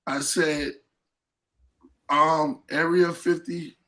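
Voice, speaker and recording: A man in his fifties hosts a casual podcast chat, taking his time over every phrase. His voice sits a little high and sounds bright and open, and he laughs easily between lines. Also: little pitch movement, very slow. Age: 50s. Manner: laid-back, laughs often, repeats himself. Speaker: podcast host.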